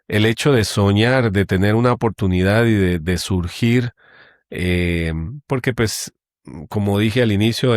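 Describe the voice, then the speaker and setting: A middle-aged man speaks in a fairly low voice with a dry, close sound. He talks in a measured way and pauses often. His tone is that of a guest in a conversational interview. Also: no echo. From Guatemala. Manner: measured, with many hesitations. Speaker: guest, company general manager.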